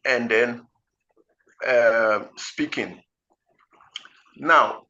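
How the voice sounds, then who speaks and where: Ghanaian male tutor, deliberate word-by-word delivery, bright tone, muffled low-fi recording. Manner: slow, deliberate, word-by-word delivery with frequent fillers. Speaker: IELTS teacher. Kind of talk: explanatory teaching talk.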